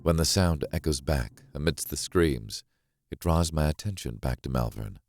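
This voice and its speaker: A man narrating a thriller novel as a grave, watchful witness, measured and deliberate in his delivery. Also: very deep voice, expressive, darkish tone, faint music behind. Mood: grave, suspenseful. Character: grave, watchful man recounting a horrific stage act. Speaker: audiobook narrator.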